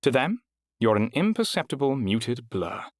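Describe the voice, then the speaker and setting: A man voicing a polished female fight registrar with a smooth accent, asking brisk formal questions. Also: mid-range voice, very animated, no room sound, clean studio sound, voice pushed up, natural voice. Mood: explaining.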